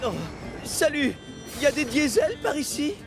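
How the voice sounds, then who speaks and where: A man's voice: extremely high pitch, very animated, unhurried, heavily acted. A man voicing a kind, naive and easily worried young diesel engine in a children's cartoon dub, speaking earnestly in exclamations. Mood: anxious, puzzled. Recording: music behind the voice.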